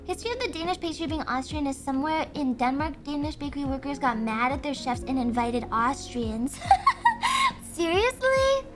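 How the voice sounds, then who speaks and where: A woman streamer chatting casually and thinking aloud, excitable, with frequent gasps and laughs. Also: high-pitched, normal speed, music in the background. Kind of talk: casual livestream chat.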